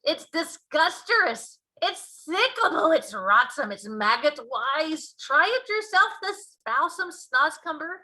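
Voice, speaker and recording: A woman voicing an old, friendly but grand and indignant male giant in a children's storybook, with emphatic drawn-out words and mangled grammar. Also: sits high, sing-song, bright, forceful tone, pitched above the performer's own voice, exaggerated voice, low-quality recording. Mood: disgusted. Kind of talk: children's storybook read-aloud.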